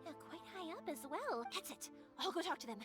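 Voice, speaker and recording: Headstrong cheery game girl, really high lively voice, chatty n reassuring, music under it. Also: a little fast. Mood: upbeat, reassuring. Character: upbeat, kindhearted, headstrong young fireworks maker girl. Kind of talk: video game voice acting.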